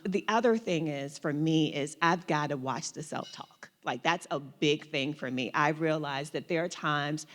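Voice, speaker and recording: A woman's voice: low voice, measured pace, hardly any pauses. A woman panelist giving earnest, reflective advice, often repeating words as she goes. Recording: reverberant hall.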